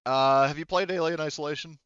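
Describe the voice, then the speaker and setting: A man's voice: a little high, fairly even intonation, fairly quick, a bit bright, clear, resonant tone, no echo, muffled recording. A man acting as game master, narrating and refereeing a tabletop roleplaying game in an informal, hesitant, think-aloud way.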